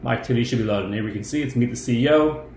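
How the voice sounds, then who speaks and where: A man in his thirties walks through a software product step by step in an explanatory way. His voice sits at a middling pitch. It is recorded at a computer in an echoey room with faint noise behind it. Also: racing. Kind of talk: screen-share tutorial walkthrough. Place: indoor room. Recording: roomy echo, faint background noise.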